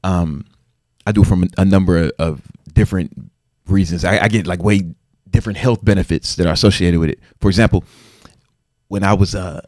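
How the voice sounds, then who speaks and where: A man's voice: sits low, brisk, darkish tone, no room sound, studio quality. A man in his forties, a fitness trainer guesting on a podcast, talking in a laid-back, slangy way and laughing often. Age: 40s. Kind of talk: casual interview.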